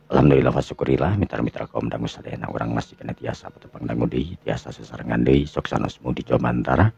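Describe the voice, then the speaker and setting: Very deep, gravelly Sundanese male storyteller. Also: fairly even intonation, fast talker, a little mellow. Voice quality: gravelly, husky. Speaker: storyteller and channel host. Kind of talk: Sundanese radio-style storytelling narration. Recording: dull lo-fi recording with music. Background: music.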